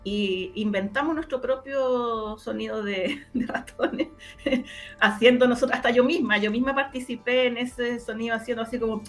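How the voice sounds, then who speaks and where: A woman's voice: fairly high pitch, normal speed. Chilean woman explaining, music behind. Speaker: interviewee, radio drama maker. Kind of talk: casual interview answers.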